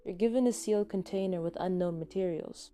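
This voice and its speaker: A woman voicing a mischievous young male science genius in a story, explaining in a low-key, curious way. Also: fairly quick, dark tone, faint music behind, lightly acted. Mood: keen explaining.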